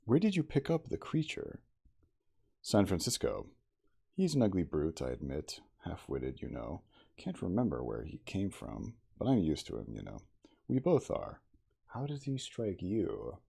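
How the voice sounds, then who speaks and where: Man acting out a gruff, gravelly hard-drinking man. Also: low-pitched, huge pitch swings, a little slow, mellow, heavily acted. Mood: evasive, offhand. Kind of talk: storybook character dialogue.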